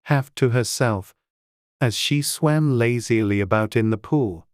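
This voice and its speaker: A woman's voice: extremely low pitch, measured pace, a little mellow, no echo, clean studio sound. A woman reading scripted storybook narration as an audiobook narrator.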